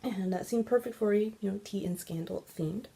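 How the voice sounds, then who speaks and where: Congested woman with a gravelly voice. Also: mid-range voice, moderate pace, warm tone. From Central California. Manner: chatty and rambling, many ums. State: stuffy, congested. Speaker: podcast host. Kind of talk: casual knitting video podcast chat.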